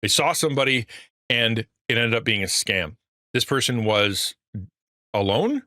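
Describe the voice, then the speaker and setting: A man's voice: medium pitch, expressive, measured pace, pushed and bright, dry recording, studio quality. A middle-aged man, a pastor, talking earnestly and rambling on a livestream with a fatherly voice. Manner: earnest, rambling with restarts, reads chat aloud. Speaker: pastor and streamer. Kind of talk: casual livestream talk.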